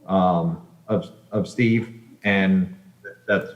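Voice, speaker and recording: A man's voice: sits low, steady tone. Hesitant man on an echoey, dull connection. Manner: hesitant, halting phrasing. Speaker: architect presenting for an applicant. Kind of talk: answering questions at a public meeting. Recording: echoey, dull video-call audio.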